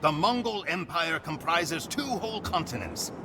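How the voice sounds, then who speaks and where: Over clear music, a proud Mongol warrior tells of his people's conquests. His delivery is solemn and theatrical, rising and falling dramatically in a slightly high male register. It is scripted storytelling for a video game campaign. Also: big pitch swings, normal speed. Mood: grave, determined. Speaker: campaign narrator. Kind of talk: dramatic scripted cutscene narration. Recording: music behind the voice.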